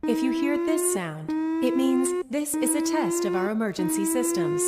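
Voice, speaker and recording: Parody safety announcer: formal, instructional, high voice, very lively intonation. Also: female voice, normal speed, over loud music. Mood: officious. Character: bright, composed woman giving absurd official safety announcements.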